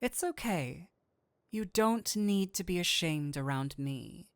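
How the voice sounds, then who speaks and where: A man playing a flirtatious incubus demon in a roleplay audio drama, with a teasing, theatrical, drawn-out delivery. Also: sits high, animated, clear, resonant tone, dry recording, clean studio sound, full character voice. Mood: seductive, reassuring. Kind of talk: scripted roleplay audio drama, addressing the listener.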